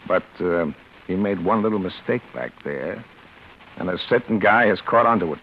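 An actor playing a shady, commanding fixer in a 1940s radio crime drama, giving terse hard-boiled instructions. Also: male voice, sits low, expressive, moderate pace, vocal fry. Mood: smooth, conspiratorial. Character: shady, commanding political fixer arranging a payoff. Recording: dull, slightly noisy vintage radio recording.

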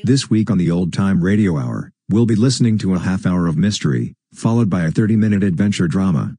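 A man's voice: low voice, lively intonation, brisk, warm tone, dry recording. A man presenting a radio programme with a scripted introduction. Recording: clearly noisy radio intro.

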